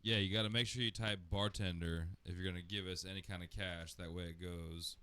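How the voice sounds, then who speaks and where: Man, very low voice, bright, casual co-host banter, dry studio sound. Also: fairly even intonation, fairly quick, clear, resonant tone, no room sound. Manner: laid-back, joking, uses "dude" and "man". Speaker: co-host, the bartender. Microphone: studio mic with headphones.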